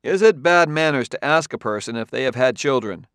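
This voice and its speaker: A man's voice: mid-range voice, expressive, brisk, a bit bright, dry recording, studio quality, close to an everyday voice. A man doing an uneasy wary detective. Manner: careful, hesitant questioning. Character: cautious, uneasy male detective from Earth. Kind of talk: audiobook character voice.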